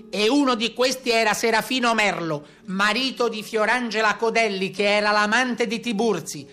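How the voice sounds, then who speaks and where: A man playing a stern carabiniere who gives a formal, deliberate, declamatory account of brigands in a stage monologue. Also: high-pitched, sharp tone, voice pushed up. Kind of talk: theatrical monologue storytelling.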